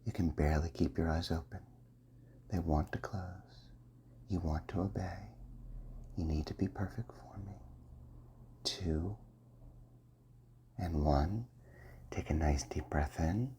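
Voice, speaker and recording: A man giving a slow, soft and commanding hypnosis roleplay in the ASMR style, often dropping to a half-whisper. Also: deep voice, a little hiss or hum.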